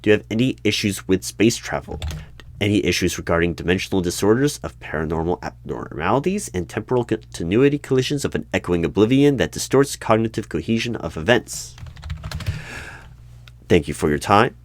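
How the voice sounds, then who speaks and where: A man in an ASMR roleplay as the stern hiring manager of a secret bureau, with deadpan questioning that breaks into sudden loud bursts and whispers. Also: lowish voice, fairly quick. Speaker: interviewer in a roleplay.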